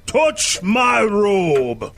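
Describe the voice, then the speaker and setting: Jovial giant spirit for audio drama: hearty, declamatory, slow-paced, ringing voice. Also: male voice, high voice, wildly swooping pitch, vocal fry, a bit bright, exaggerated voice. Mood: jovial, commanding.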